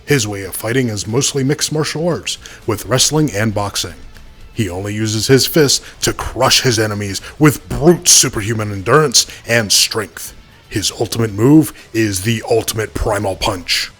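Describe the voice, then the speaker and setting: A man playing a slangy, joking fight commentator who banters his way through an animated match broadcast. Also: on the low side, normal speed, breathy edge, faint music behind. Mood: bantering. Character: hip-talking, joking male fight commentator. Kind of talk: sports commentary in animated show.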